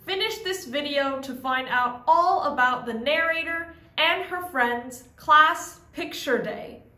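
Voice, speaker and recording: Bright, high-pitched young woman reading slowly. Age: young adult. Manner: animated, carefully enunciated. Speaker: host and children's story reader. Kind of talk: children's picture book read-aloud. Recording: echoey indoor room.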